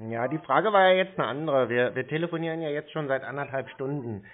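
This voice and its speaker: A man's voice: medium pitch, quick pace. A man baiting a scam caller on the phone, talking in a dry, needling and pedantic way. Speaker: scam baiter on a phone call. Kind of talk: phone conversation. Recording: tinny phone line.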